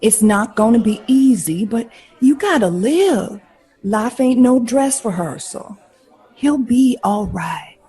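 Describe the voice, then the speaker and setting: An actress playing a poised, glamorous and warm lady star of the 1940s in a period audio drama, with a husky voice. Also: fairly high pitch, expressive, slow pace, exaggerated voice. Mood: concerned, encouraging.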